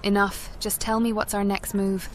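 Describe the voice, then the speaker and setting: A woman's voice: medium pitch, level tone, moderate pace, slight background noise, lightly acted. A woman voicing a composed elven sorcerer in a video game, direct and inquisitive. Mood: firm. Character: composed female elven sorcerer hero, questioning and firm.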